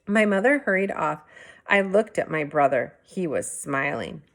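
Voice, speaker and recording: A woman teacher reading a storybook aloud. Her voice sits a little low for a woman, and her narration is expressive. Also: unhurried, creaky.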